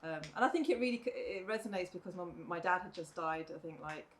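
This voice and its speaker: A middle-aged woman, a mother talking reflectively in a casual podcast chat, often pausing with "um" and "you know". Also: on the low side. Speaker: guest, mother of the other guest. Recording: faint background noise.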